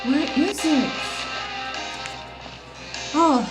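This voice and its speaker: A woman's voice: high-pitched, expressive, very slow, rough recording. A woman gaming streamer giving casual playthrough commentary over a game. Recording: game music behind voice.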